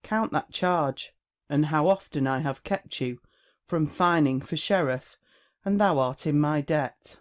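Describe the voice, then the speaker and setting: An actor playing a brazen, witty rake in a period stage comedy, declaiming with mocking insolence. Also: male voice, a little high, unhurried, highs cut off, lightly acted. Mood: scornful. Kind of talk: theatrical period comedy acting.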